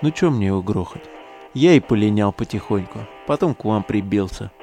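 An actor playing a hot-tempered, crude young neo-Nazi relic digger in an audio drama, brash, slangy and sneering. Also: male voice, on the low side, animated, brisk, mellow, faint music behind. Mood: sullen, bragging.

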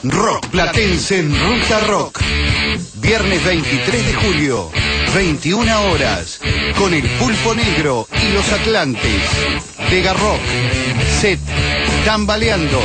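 Male Argentine promo announcer, highish pitch, very varied intonation, measured delivery over loud guitar-led music. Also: sing-song. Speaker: radio event announcer.

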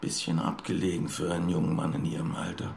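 An actor playing a dry, wry middle-aged police detective in a radio drama, laconic, ironic and formal. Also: male voice, sits low, completely flat delivery, quick pace, gravelly, a little mellow, slight background noise. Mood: dry, probing.

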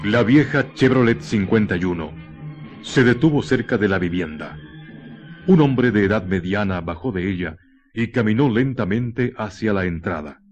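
A man narrating a scripted radio horror drama in a grave, dramatic manner with deliberate pauses. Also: lowish voice, expressive, lo-fi sound, soft background music.

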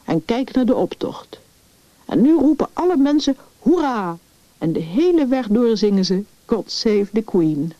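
Radio story role: earnest, imaginative little girl , acted by a woman, mellow tone, dull lo-fi sound. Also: on the high side, sing-song, a little slow, dry recording, voice pushed up. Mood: excited. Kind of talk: Dutch radio story reading.

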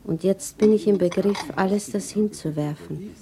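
A woman's voice: mid-range voice, normal speed, a crowd in the background, lightly acted. An actress playing a respectable, troubled widowed mother in a radio play, earnest and emotional. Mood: anguished resolve. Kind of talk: 1960s German radio play acting.

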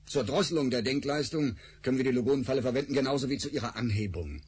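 A man's voice: mid-range voice, fast, a little mellow, a little hiss or hum, lightly acted. An actor playing a cold, pragmatic male scientist in a radio drama, clinical in manner.

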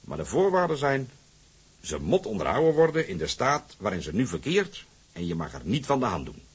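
An actor playing a commanding, shrewd old skipper in a Dutch period drama, speaking gruffly with hesitations and throat-clearing. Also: male voice, medium pitch, big pitch swings, brisk. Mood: gruff, businesslike. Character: commanding old skipper, shrewd but generous boat owner.

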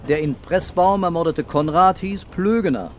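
An actor playing a brooding, terse police commissioner in a German radio crime drama. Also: male voice, on the high side, normal speed, slight background noise, lightly acted. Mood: brisk, urgent. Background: music and vehicle ambience.

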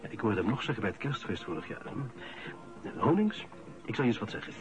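A middle-aged Flemish office worker, speaks in a fairly low voice. He sounds embittered and sarcastic, always ready for a fight. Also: sing-song, quick pace, muffled recording, over music. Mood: bitter. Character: embittered, combative male office worker, sarcastic about his rival. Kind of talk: radio drama acting.

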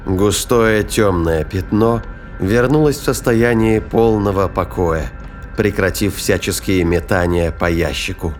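A man's voice: low-pitched, a little slow. A man narrating an audio drama in a dramatic, scripted storytelling style. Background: music.

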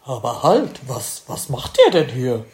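A woman playing an indignant, defensive parent in an amateur school radio play, speaking emphatically and a little haltingly. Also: extremely low pitch, big pitch swings, darkish tone, exaggerated voice. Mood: suspicious.

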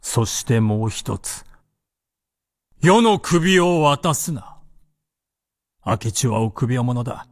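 An actor in a Japanese radio drama playing an imperious, fearless samurai warlord with a commanding, theatrical delivery. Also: male voice, medium pitch, lively intonation, takes it slowly, pauses often, dry recording, studio quality. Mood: defiant, grimly amused.

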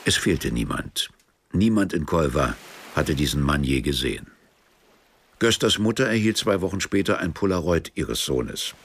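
An actor as the sober, detached storyteller of a German radio play. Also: male voice, rumbling low, big pitch swings, moderate pace, fairly bright tone, slightly rough, heavily acted. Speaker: narrator. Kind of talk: scripted radio play narration.

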